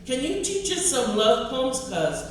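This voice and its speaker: A lovesick young man in prison , acted out by a woman poet, earnest and streetwise. He pleads with emphatic feeling. The sound is distant and heavily echoing, with clear background noise. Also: high-pitched, very animated, a little slow, pitched above the performer's own voice. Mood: eager pleading. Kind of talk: acted character quote in a poetry reading. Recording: distant, very echoey, noisy room.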